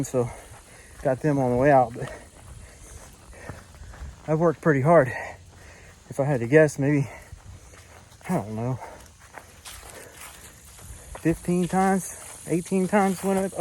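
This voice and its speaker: Man, hiking vlogger, breathless, slightly hoarse, talks casually to a handheld camera, faint outdoor noise behind. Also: a little high, darkish tone. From Florida. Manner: breathing hard, winded while hiking uphill. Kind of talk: casual vlog narration to camera. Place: outdoors on a forest hiking trail.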